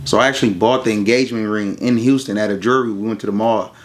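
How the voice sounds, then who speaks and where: Young guy, kinda low voice, just chatting through his story real matter-of-fact. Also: steady tone, quick pace, a little hiss or hum. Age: young adult. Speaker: interview guest telling a couple's story. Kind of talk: casual chat, storytelling.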